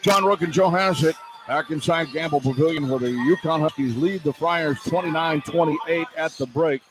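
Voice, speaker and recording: Man, slightly high voice, play-by-play radio announcer, live sports commentary, broadcasting from an arena. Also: normal speed, faint music behind, low-quality recording.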